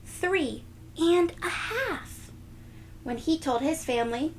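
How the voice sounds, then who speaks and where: A woman reading a storybook aloud to young children, expressive and gentle, speaking directly to them. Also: high-pitched, slow, slight background noise. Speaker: children's read-aloud host.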